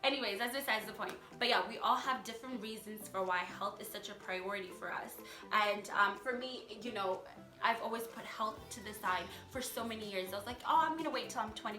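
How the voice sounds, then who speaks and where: Young female vlogger, candid and emphatic, casual motivational chat; roomy echo, clear music bed. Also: medium pitch. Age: young adult. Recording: echoey room, music underneath.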